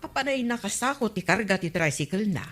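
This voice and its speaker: A woman's voice: mid-range voice, expressive, fairly quick, a bit bright. An actress playing a nosy, skeptical village councilwoman with an Ilocano accent in a radio comedy drama. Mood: nosy, insistent.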